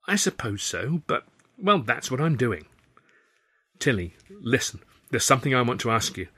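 A man's voice: mid-range voice, very animated, moderate pace, bright, forceful tone, breathy edge, exaggerated voice. A man voicing a lovesick young Englishman in an audiobook, urgent, pleading and insistent.

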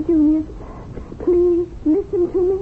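An actress in a radio drama playing an earnest, idealistic schoolteacher wife, sweet and gently pleading. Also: female voice, extremely high pitch, fairly even intonation, takes it slowly. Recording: tinny band-limited old radio broadcast, hissy.

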